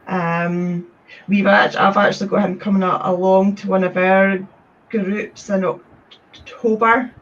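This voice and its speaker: Unhurried woman on a distant call. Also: mid-range voice, flat delivery. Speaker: guest. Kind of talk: casual interview chat. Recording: distant, dull video-call audio.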